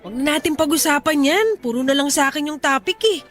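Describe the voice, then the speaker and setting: A woman's voice: high voice, quick pace, fairly bright tone, faint music behind. A woman playing a blunt, joking tomboyish young mechanic in a radio drama. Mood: bantering.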